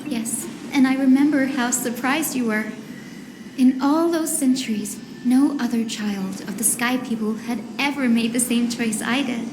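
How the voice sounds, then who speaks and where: A woman playing a gentle, earnest and idealistic young granddaughter in a dramatic game, with deliberate, soft-hearted phrasing. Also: on the high side, noisy background. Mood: nostalgic, gentle. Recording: reverberant voice over music.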